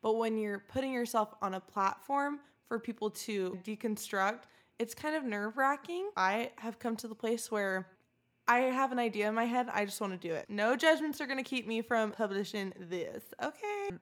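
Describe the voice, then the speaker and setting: A woman's voice: fairly high pitch, animated, normal speed. A woman of about twenty, co-hosting a podcast in a casual, bubbly and candid chat. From California, USA. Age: 20s. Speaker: podcast co-host.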